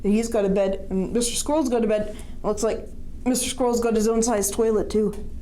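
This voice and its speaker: A woman's voice: on the high side, fairly even intonation, moderate pace, dark tone, a little hiss or hum, poor audio. A woman reading a picture book aloud to young children, expressively, with questions and asides to her listeners.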